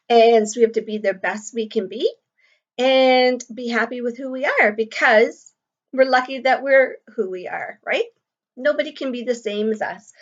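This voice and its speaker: A woman teacher reading a picture book aloud to children, explaining simply and checking in with questions, on slightly dull audio. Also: fairly high pitch.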